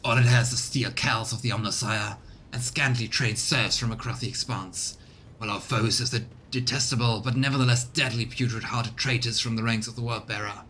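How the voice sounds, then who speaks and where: A man's voice: steady tone, a little fast, bright, forceful tone, close to an everyday voice. Man playing a proud, scornful space warrior. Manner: grandiose, contemptuous. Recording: rumbly background noise.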